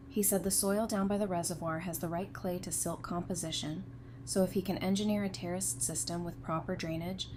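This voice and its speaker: A woman's voice: fairly low pitch, darkish tone, slight background noise, close to an everyday voice. A woman playing a frank, devoted survivor on a community council in an audio drama.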